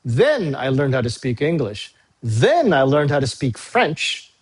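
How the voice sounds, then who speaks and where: A male university English teacher, a native Tagalog speaker, recording a class briefing video; he talks patiently, repeats key dates and fills pauses with "uh". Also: medium pitch, big pitch swings, breathy edge. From Philippines. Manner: frequent "uh" fillers.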